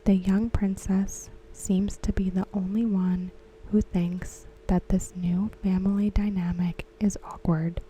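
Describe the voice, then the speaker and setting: A woman speaking in a soft unvoiced whisper for an ASMR recap, slow and even throughout. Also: mid-range voice, a little hiss or hum, rough recording. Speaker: ASMR creator.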